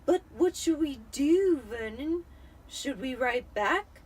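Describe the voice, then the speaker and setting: A woman's voice: high voice, animated, slow pace, a little mellow, a little hiss or hum, pitched above the performer's own voice. A woman voicing a nervous, fretful middle-aged aunt in a storybook reading, with a quivering voice. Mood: anxious.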